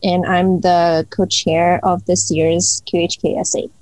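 A woman's voice: fairly low pitch, little pitch movement, unhurried, darkish tone, dry recording, rough recording. A young woman from Hong Kong, a student guest, chatting casually with many fillers and restarts. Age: young adult. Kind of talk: casual interview answers.

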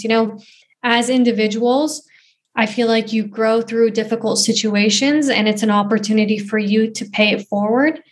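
Earnest young woman guest. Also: a little high. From Canada. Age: 20s. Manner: earnest, thoughtful, frequent "you know" and "um". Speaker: guest, footballer and activist. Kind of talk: earnest interview answers.

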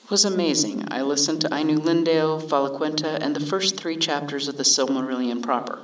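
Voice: medium pitch, steady tone, a little fast. A speaker reading a listener's letter aloud, recorded at a distance from the microphone.

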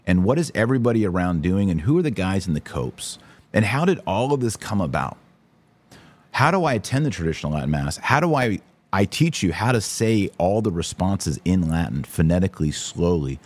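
Deep voiced guy doing a religious podcast, casual and slangy, talks right at the audience, pretty emphatic. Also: sing-song, fairly quick. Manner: informal, emphatic, slangy, direct address. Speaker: podcast host; online course teacher.

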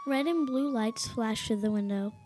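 A woman's voice: on the high side, normal speed, noisy background, natural voice. A young girl playing the storyteller, reading scripted narration for an amateur radio mystery. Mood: narrating. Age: child or teenager.

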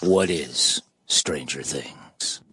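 A man's voice: very deep voice, very slow. A man reading scripted promotional narration as an announcer, with music behind him. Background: prominent music.

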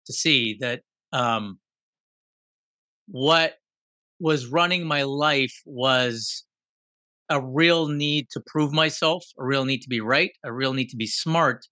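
A man in his fifties from Guyana, telling a personal story in an animated, reflective, self-deprecating way. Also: medium pitch, no echo. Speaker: guest.

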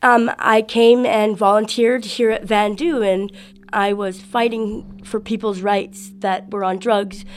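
A woman's voice: medium pitch, level tone, slow, slight background noise. Outspoken woman, earnest interviewee. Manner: outspoken, earnest, loud-voiced. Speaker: activist interviewee. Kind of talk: casual interview.

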